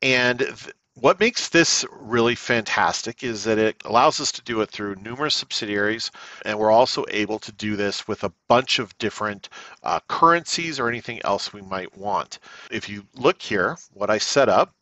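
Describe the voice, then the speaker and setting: A man giving an unscripted, explanatory software walkthrough in a conversational way, often checking in with the listener. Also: fairly low pitch, moderate pace, no echo. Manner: conversational, checks in with "okay?" and "all right?". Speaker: software tutorial presenter.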